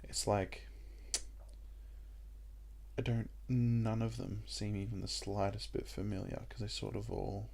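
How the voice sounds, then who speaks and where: Croaky young Australian man. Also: fairly low pitch, unhurried. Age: young adult. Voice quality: croaky, gravelly voice. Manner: rambling and relaxed, with many ums. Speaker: gaming YouTuber. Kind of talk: casual let's-play commentary.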